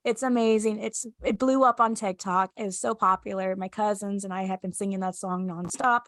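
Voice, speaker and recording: A woman's voice: medium pitch, steady tone, a little fast, a bit bright, no room sound. A young woman co-hosting a casual fan podcast, stuffy from a cold, giggly and chatty. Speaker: podcast co-host.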